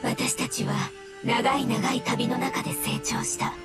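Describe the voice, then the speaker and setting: Woman voicing a high, solemn mushroom spirit. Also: flat delivery, close to an everyday voice. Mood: solemn devotion. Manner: solemn, unhurried, formal. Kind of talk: Japanese anime game voice acting. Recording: studio voice under loud music.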